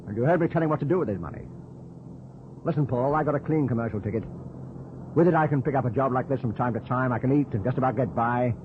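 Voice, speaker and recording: An actor playing a stubborn, sardonic British pilot for hire in an old radio drama, blunt and wary. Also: male voice, medium pitch, quick pace, natural voice. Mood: indignant. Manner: blunt, sardonic, clipped retorts. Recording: muffled narrowband old radio, loud noise.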